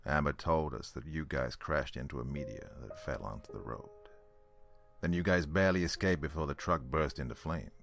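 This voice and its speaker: A man playing an easygoing, caring survivor in an audio drama, with a gravelly voice and a folksy, reassuring manner. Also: extremely low pitch, normal speed, fairly bright tone, full character voice. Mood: relieved, amused. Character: Abe, easygoing male survivor, a caring friend.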